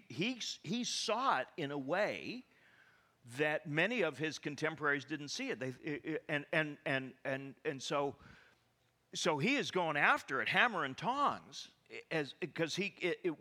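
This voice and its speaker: A man preaching a sermon, animated and full of stammering restarts and rhetorical questions to the congregation. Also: on the high side. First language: English.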